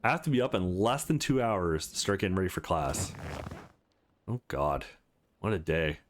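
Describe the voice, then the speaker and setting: A man's voice: sits low, big pitch swings, moderate pace, a little hiss or hum, pitched below the performer's own voice. A man voicing a caring, earnest young male student hero in a visual novel, with a reassuring manner. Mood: exhausted.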